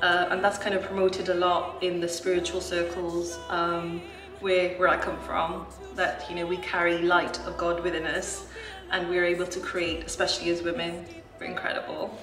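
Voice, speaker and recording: A woman in her thirties whose first language is Urdu, a singer talking about her part in an interview, in a reflective way with soft fillers. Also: lowish voice, little pitch movement, normal speed. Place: small room with a poster. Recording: roomy sound from a distance, music behind.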